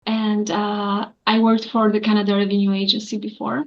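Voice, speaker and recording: A woman's voice: medium pitch, monotone, normal speed, highs cut off. A woman, an accountant answering earnestly in an interview with many fillers. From Albania. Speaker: guest, accountant.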